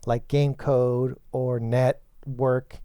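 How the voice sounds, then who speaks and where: A man getting over a cold, a bit hoarse, chatting on a gaming podcast in a halting way that restarts often. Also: medium pitch, level tone, dark tone, dry recording, clean studio sound. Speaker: podcast co-host. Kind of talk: casual gaming podcast chat.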